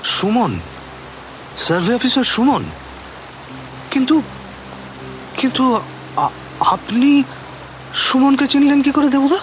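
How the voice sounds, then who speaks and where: Nervy puzzled bloke, really high voice, stammers, rainy water noise behind. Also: male voice, expressive, heavily acted. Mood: puzzled, hesitant. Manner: halting, stammering. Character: anxious, puzzled colleague named Sumon, startled and halting. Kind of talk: audio drama dialogue. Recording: noisy rain and water ambience. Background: rain or rushing water.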